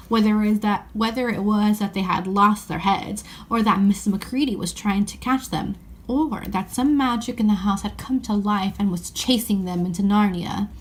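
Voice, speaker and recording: A woman narrating a children's story as an audiobook reading. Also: medium pitch.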